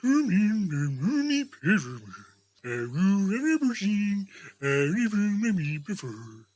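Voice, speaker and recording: A woman voicing a jolly giant Christmas spirit for a storybook, hearty and grand, declaiming slowly. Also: medium pitch, big pitch swings, darkish tone. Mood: hearty, booming.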